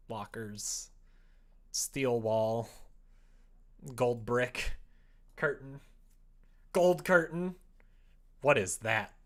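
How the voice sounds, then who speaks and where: A streamer chatting casually while working, muttering and thinking aloud at a slow pace. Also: mid-range voice. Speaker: streamer making a visual novel.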